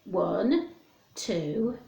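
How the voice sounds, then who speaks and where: A woman's voice: mid-range voice, big pitch swings, drawn-out words, creaky, dark tone, reverberant. A woman reading a story aloud to young children, speaking simply and expressively to her listeners.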